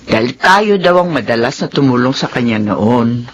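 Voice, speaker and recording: An elderly mother in a radio drama, caring yet quick to disapprove. The voice is rough and husky, rising and falling in animated swings. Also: a bass voice, highs cut off, heavily acted. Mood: caring, resigned. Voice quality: husky, raspy.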